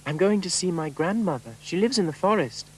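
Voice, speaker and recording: A man voicing an innocent, polite little girl in a high, childlike voice. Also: expressive, brisk, husky, low-quality recording.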